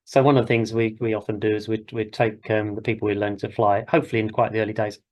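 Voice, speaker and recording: A man's voice: lowish voice, flat delivery, quick pace, darkish tone. British man, informal flying instructor. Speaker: helicopter flying instructor and session host. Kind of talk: informal classroom lecture.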